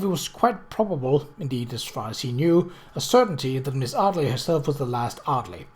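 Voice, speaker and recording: A man reading a novel aloud as scripted narration, in a measured way with the odd small stumble. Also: fairly high pitch, darkish tone. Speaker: audiobook reader.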